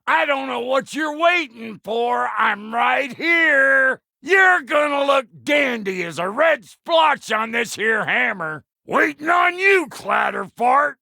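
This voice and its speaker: Gruff American dwarf blacksmith for a game: high-set, sharp, rough voice, foul-mouthed and cocky, folksy drawn-out delivery. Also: male voice, expressive, dry recording, studio quality, full character voice. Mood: belligerent taunting. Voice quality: gravelly, rough. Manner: brash, folksy, profane. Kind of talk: video game character voice lines.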